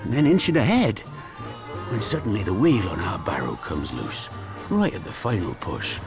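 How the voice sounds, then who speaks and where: An actor playing a warm, nostalgic older British man telling stories in a chatty, wistful way in a naturalistic radio drama. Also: lowish voice, big pitch swings, normal speed, music in the background. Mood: excited reminiscing. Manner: chatty, chuckling, wistful reminiscing.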